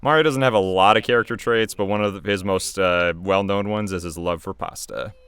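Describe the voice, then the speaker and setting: A man giving casual, rambling, self-critical commentary on his own animated series as its creator. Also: sits low, fairly quick, smooth, dry recording.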